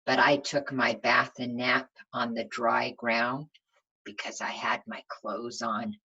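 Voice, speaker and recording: A woman reading a storybook aloud to young children as their teacher, expressive and simply phrased, pausing to ask them questions. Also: a bass voice, breathy edge, highs cut off.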